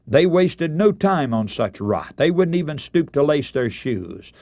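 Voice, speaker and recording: Animated male radio preacher, dramatic and emphatic. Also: on the high side, unhurried, no room sound. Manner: emphatic oratory, rhetorical questions, addresses listeners as friends. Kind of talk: radio sermon, dramatic Bible storytelling.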